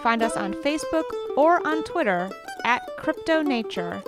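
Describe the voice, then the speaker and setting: A woman's voice: on the high side, animated, normal speed. A woman reading scripted podcast credits as an announcer. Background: loud mandolin folk music.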